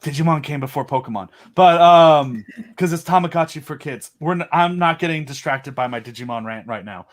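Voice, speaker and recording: A man chatting as a guest on a video call, excitedly rambling as a fan about his monster designs. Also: fairly high pitch, a little fast, few pauses. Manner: enthusiastic, rambling fan talk. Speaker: podcast host and audio drama creator. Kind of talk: casual video chat interview.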